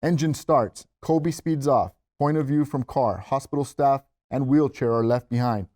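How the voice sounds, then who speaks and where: A man's voice: mid-range voice, normal speed, mellow. A man reading scripted stage directions as narrator at a table read.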